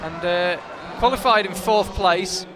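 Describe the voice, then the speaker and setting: Guy doing live climbing commentary, kinda high voice, chatty, loud crowd in a big hall. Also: a little slow, background noise. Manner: chatty, analytical, thinks aloud with restarts.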